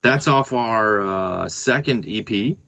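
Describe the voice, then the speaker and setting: A man's voice: fairly low pitch, slow, gravelly, a bit bright. A man speaking casually as a musician guest, explaining things in a laid-back, hesitant way. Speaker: band musician and songwriter, interview guest. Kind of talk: casual conversation.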